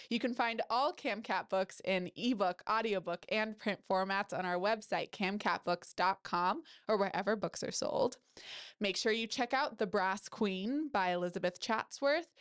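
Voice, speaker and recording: A woman's voice: mid-range voice, smooth. A woman podcast host with a stuffy nose, reading a story aloud and chatting effusively with her guests. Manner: effusive, upbeat, laughs often. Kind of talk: reading a story aloud, then casual chat.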